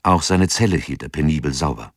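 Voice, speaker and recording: An actor as a sober chronicler, narrating a documentary radio drama from a script. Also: male voice, a bass voice, animated, brisk, no echo, studio quality. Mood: sober, factual. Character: sober male chronicler of a crime case.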